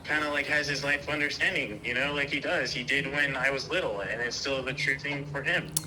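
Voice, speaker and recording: A man in a casual personal conversation, talking in a halting, rambling way and circling back on his own phrases. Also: mid-range voice, steady tone, sharp tone, a little hiss or hum, low-quality recording.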